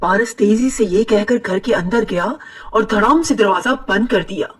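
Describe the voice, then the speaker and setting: A woman narrating an animated moral story in a steady, moralizing telling. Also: mid-range voice, moderate pace, distant mic. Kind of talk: scripted cartoon narration.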